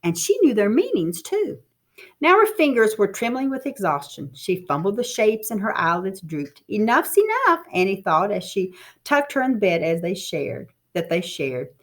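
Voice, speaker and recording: A woman reading a story aloud in an expressive, dramatic teacher's storytelling manner. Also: medium pitch, normal speed.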